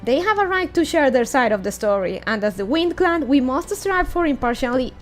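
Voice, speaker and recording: Woman reading as stern, high-voiced fairy security chief. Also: big pitch swings, brisk, soft background music. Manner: formal, commanding. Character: stern, formal female fairy head of security.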